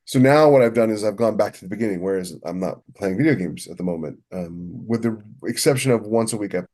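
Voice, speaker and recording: Middle-aged male podcast co-host, lower-pitched voice, candid and rambling, conversational delivery. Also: fast talker, warm tone. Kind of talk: casual podcast chat.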